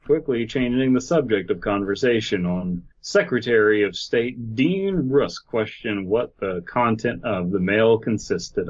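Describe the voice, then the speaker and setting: A man hosting a podcast, riffing casually and comically in a sweary, halting, argumentative way. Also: medium pitch. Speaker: podcast host. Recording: slightly dull, lo-fi podcast audio.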